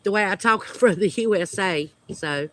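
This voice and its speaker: A man's voice: sits high, normal speed. A man with a Southern US accent hosting a homesteading livestream from outdoors at home. He chats casually with viewers in a hesitant, friendly way with many fillers. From United States. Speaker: homesteading channel host.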